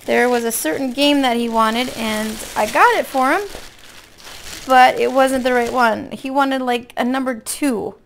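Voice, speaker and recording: A woman with a hoarse voice from being sick, chatting casually in a folksy, rambling way as a craft vlogger. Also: a little high, fairly even intonation, a little slow, a little hiss or hum. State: hoarse from illness with a cough. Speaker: craft vlog host. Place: indoor room at a table.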